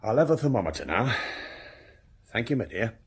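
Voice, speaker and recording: An actor playing a deferential, easily flustered English country doctor in a British radio drama, polite and hesitant. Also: male voice, mid-range voice, very animated, normal speed, husky.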